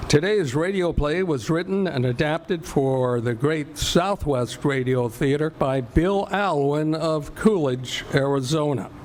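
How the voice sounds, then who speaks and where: A man's voice: fairly high pitch, measured pace, hardly any pauses, slight background noise. A man with an American accent reading scripted narration for a radio play. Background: loud music.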